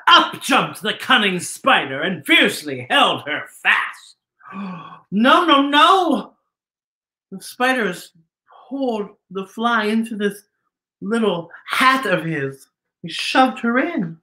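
A man hosts a children's storytime with campy theatrical flair. His voice is high and bright, and he stretches and exclaims his words in a roomy-sounding space. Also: sing-song. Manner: campy, drawn-out, exclaiming dramatic delivery. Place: decorated indoor storytime set.

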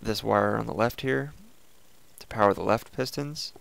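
Raspy, gravelly man chatting over gameplay. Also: on the low side, moderate pace. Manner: relaxed, chatty, thinking aloud while playing. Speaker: let's play host. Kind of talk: casual gameplay commentary.

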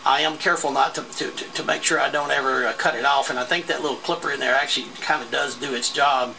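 A man talking casually as an amateur radio operator, halting as he thinks aloud while filming in his radio room. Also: mid-range voice, steady tone, creaky, pushed and bright. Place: indoor home radio room. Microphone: handheld camera mic. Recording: noisy handheld camera recording.

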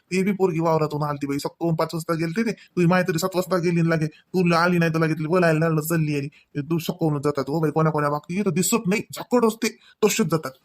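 Cartoon village elder , played by a man: fair-minded father-in-law, fairly high voice, Varhadi Marathi accent, admonishing with questioning tags. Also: fairly even intonation, fast, hardly any pauses, close to an everyday voice. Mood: chiding. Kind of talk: Marathi comedy cartoon dialogue.

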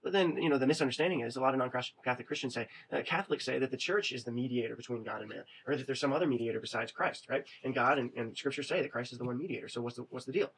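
Male teacher, 30s, rapid conversational webcam lecture, constant "right?" check-ins, faint room hiss. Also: medium pitch, fairly bright tone, rough recording. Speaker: religion teacher. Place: small indoor room. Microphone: webcam at desk.

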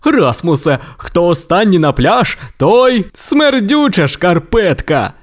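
A cheeky young dinosaur brother from a storybook, as the narrator puts him on, high and playful, his voice bouncing around in a lively way. He sounds mischievous and full of teasing energy. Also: takes it slowly. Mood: taunting.

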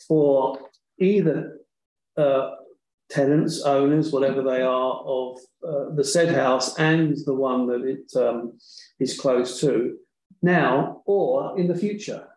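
A man on a council committee voicing concerns slowly and hesitantly, filling gaps with ums. His speech is unhurried. The room around him is echoey. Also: mid-range voice, steady tone, a little mellow. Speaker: committee member. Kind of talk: council meeting discussion. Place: large meeting room.

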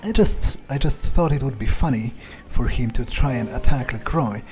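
Sweary man muttering in character, mellow voice. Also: mid-range voice, soft background music. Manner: halting, muttering to himself, sweary. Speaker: player role-playing his game character. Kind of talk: improvised in-character gameplay narration.

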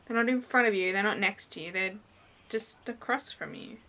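Young woman, broad New South Wales Australian accent, bright voice, rambling casual vlogger, small room. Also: medium pitch, normal speed. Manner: chatty, rambling with many ums and likes. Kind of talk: casual vlog chat. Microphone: camera in front, head and shoulders framing.

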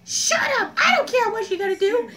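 A young performer doing a very high, childlike cartoon character in a homemade skit. The delivery is loud and giggly, swinging up and down as it exclaims. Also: expressive, a little fast, exaggerated voice. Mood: scolding.

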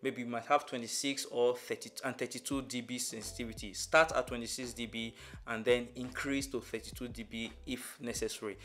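A young man presenting an instructional tutorial in a measured, step-by-step manner. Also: mid-range voice, fairly even intonation, a bit bright. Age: young adult. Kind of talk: instructional explanation to camera. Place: small furnished room indoors.

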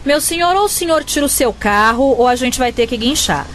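Brief: stern polite policewoman in a radio play, slightly high voice, faint noise. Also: lively intonation, fairly quick, fairly bright tone. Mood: firm. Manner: firm, formal. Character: firm polite female traffic officer. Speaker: police officer. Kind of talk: radio drama acting.

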